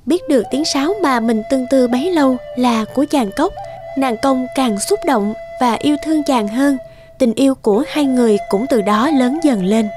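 A woman tells a fairy tale as a scripted storyteller for a children's cartoon. Her voice plays over clear background music. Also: sits high, normal speed.

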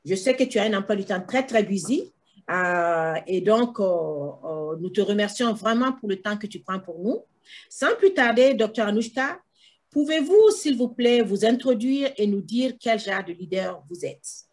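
A woman's voice: mid-range voice, expressive, a little slow. A woman in her thirties hosting a talk show over a video call from a room with bookshelves, reading her guest's bio with fillers and restarts.

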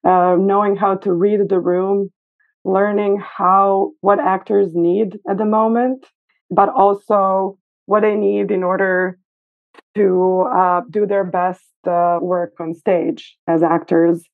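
A woman's voice: mid-range voice, little pitch movement, slow. Woman over a tinny phone-like line. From Serbia. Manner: thoughtful, hesitant with fillers. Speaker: guest costume designer. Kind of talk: casual interview. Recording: phone-like remote call.

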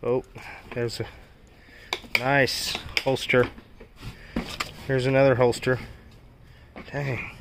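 Unhurried man casually rummaging, noisy recording. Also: mid-range voice, level tone. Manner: short exclamations and questions, talking while rummaging. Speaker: vlog host. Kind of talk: casual unscripted unboxing commentary. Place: storage unit. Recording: clear background noise with handling clicks.

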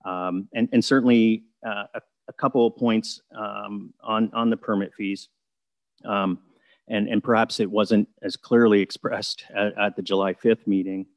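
A man with a lower voice presents a bylaw to council over a video call. He talks in a measured, procedural way, with many fillers and restarts. The sound is dry and close. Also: fairly even intonation, no echo, highs cut off. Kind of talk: formal council meeting presentation.

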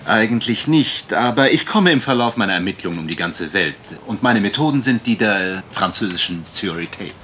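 Casting: pompous, charming private detective, lower-pitched with lively swoops, courteous, for a radio mystery. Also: male voice, moderate pace, few pauses, slight background noise. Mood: pompous, affable. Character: suave, theatrical gentleman private detective with French affectations. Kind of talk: German radio mystery play acting.

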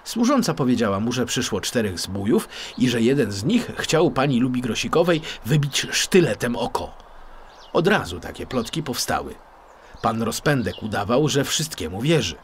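A man narrating a children's story in an expressive, theatrical storytelling manner. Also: medium pitch, moderate pace, slight background noise. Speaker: audiobook narrator.

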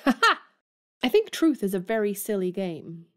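A woman's voice: medium pitch, big pitch swings, takes it slowly, fairly bright tone. An actress playing a bold, blunt young woman in an audio drama, speaking forthrightly.

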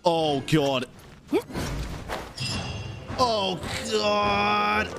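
Excitable, high-voiced male game streamer. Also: drawn-out words. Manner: excitable, playful. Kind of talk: casual live game commentary. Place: indoor streaming setup. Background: game music.

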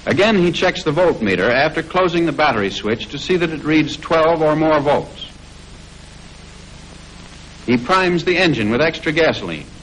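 An American man reading scripted 1940s instructional film narration in a formal, measured way, on an old film soundtrack. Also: on the high side, lively intonation, fairly bright tone, slight background noise, poor audio.

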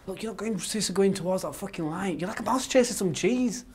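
A jumpy, sarcastic young graduate, acted by a man, talking quickly in a slightly high voice. He frets and fires off dry jabs at his friend. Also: a little hiss or hum. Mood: nervous jabs. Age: young adult. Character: nervous, sarcastic young male literature graduate. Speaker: actor in amateur short film.

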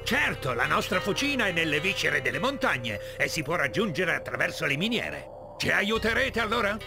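Eager little dwarf smith for a game, high gravelly voice, bouncy, music behind. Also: male voice, sing-song, normal speed. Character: eager, pleading male dwarf smith of Norse myth. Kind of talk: video game cutscene acting. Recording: loud music under the voice.

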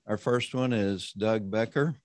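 Measured man hosting a video call. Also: lowish voice, a little mellow, no echo, clean studio sound. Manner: measured, conversational, often slowing down. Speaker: host introducing panelists. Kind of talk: virtual roundtable introduction and prayer.

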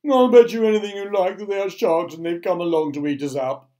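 A man voicing a plump, fearful earthworm in a children's story, loud, wailing and alarmist. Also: a little high, steady tone, takes it slowly, recorded from a distance, dull audio, pitched above the performer's own voice. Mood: alarmed, insistent. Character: panicky, wailing, plump male earthworm who fears being eaten.

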